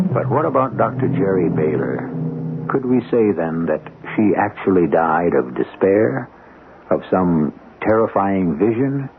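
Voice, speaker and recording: Low-voiced, dramatic male radio narrator. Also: noisy background. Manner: ominous, deliberate, dramatic. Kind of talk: theatrical scripted narration, 1970s radio. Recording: muffled old radio broadcast. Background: music.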